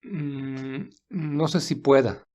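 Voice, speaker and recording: A man playing a calm, gentle, protective young man in a romance audio story, speaking in a measured, reassuring way. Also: mid-range voice. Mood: calm, caring.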